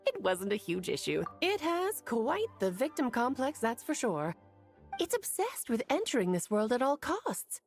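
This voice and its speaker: A woman's voice: a little high, creaky. A woman playing a refined, playful female witch who lectures in a teasing, theatrical way in a video game. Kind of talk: game voice acting.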